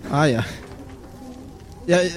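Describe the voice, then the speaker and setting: A man's voice: fairly high pitch, slow. A man playing a tabletop role-playing game, bantering casually and improvising his lines.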